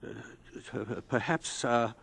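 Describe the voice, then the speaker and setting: An actor in a 1950s radio drama playing a curious scientist professor, husky, courteous and given to hesitant musing. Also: male voice, medium pitch, very slow, fairly bright tone, full character voice. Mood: hesitant, probing.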